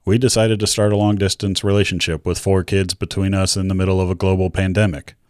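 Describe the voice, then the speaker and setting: Low-pitched American man, candid. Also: level tone, fast, darkish tone, smooth, dry recording, studio quality. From Georgia, United States. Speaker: video creator telling his own story. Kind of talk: personal storytelling narration to viewers.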